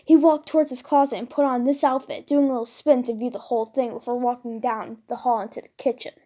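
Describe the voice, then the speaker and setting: A woman with a very high voice reads a story aloud. She narrates it as comedic fan fiction, with a dramatic storytelling delivery. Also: steady tone, fast, mellow. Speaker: fan fiction writer and reader.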